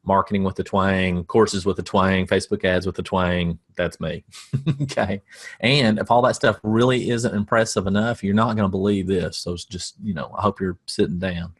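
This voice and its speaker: A man's voice: low-pitched, steady tone, normal speed, breathy edge. A middle-aged man with a Southern American accent, teaching coaches about marketing in a casual, folksy way with self-deprecating jokes.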